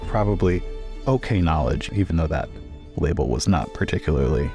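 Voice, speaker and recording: A man's voice: extremely low pitch, expressive, fairly quick, over music. A man playing a tabletop roleplaying game, switching between casual game chat and in-character talk.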